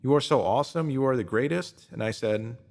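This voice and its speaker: A man's voice: mid-range voice, fairly quick, vocal fry, a little mellow. A man reading a self-help post as scripted narration, in an earnest, emphatic manner.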